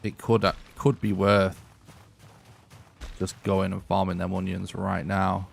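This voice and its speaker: Hoarse gravelly man streaming a game. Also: sits low, completely flat delivery, unhurried. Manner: thinks aloud, clears his throat often. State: losing his voice, hoarse. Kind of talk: live gameplay commentary.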